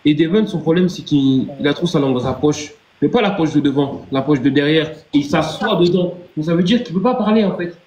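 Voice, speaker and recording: A man's voice: a little high, brisk, few pauses, darkish tone. A man hosting a casual live stream, stammering and repeating himself with playful, theatrical outrage. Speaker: livestream host. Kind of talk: casual livestream chat. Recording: roomy, dull lo-fi sound. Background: music.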